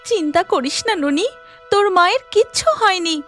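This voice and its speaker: A woman's voice: extremely high pitch, expressive, moderate pace, fairly bright tone, soft background music, pitched above the performer's own voice. A woman voicing an anxious, devout village wife in a cartoon, pleading and emotional. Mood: worried, soothing.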